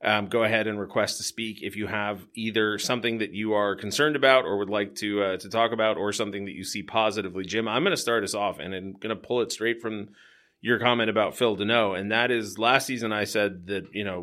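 A man hosting a casual hockey chat, upbeat and quick to laugh. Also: fairly low pitch, steady tone, a bit bright. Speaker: host.

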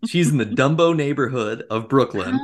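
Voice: medium pitch, animated, normal speed, a loud crowd. A podcast co-host recapping a film in casual, joking conversation.

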